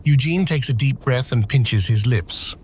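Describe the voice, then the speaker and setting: A man reading dramatic scripted narration for an audio drama, with measured, deliberate phrasing. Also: medium pitch, a little mellow, a little hiss or hum. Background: music.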